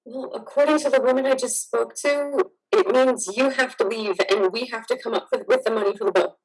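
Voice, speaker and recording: A woman playing a devoted, weary soldier's wife in a stage-style drama, tender but exasperated, with a breathy voice. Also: a little high, fairly even intonation, brisk, lightly acted, low-quality recording. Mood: grave, reluctant.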